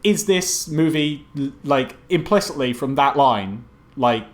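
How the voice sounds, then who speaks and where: A man's voice: medium pitch, lively intonation, measured pace. Sarcastic, sweary male film critic. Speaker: film review podcast host. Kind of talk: casual comedic film critique.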